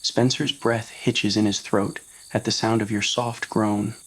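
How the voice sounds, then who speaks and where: Casting: narrator with a medium-low, unclear-gendered voice for scripted romantic roleplay fiction. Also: flat delivery, unhurried, breathy edge. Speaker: narrator of fan roleplay audio stories.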